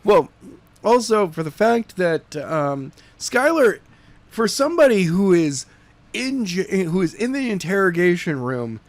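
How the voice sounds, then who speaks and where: A man's voice: sits high, very animated, a little slow, no room sound. A man co-hosting a casual podcast, talking in a blunt, teasing way. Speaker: podcast co-host. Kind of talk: casual chat.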